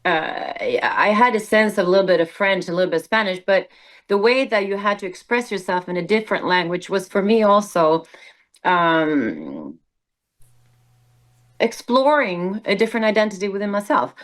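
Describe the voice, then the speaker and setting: A woman's voice: mid-range voice, expressive, normal speed. Swedish-accented woman, stage performer guest, reflective, mid-range voice. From Sweden. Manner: reflective, hesitant with many fillers. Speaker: guest, musical theater performer. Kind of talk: casual interview conversation.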